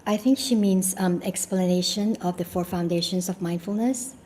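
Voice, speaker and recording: A woman moderating a question session, reading submitted questions aloud with small halting stumbles. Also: lowish voice, mellow. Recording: faint background noise.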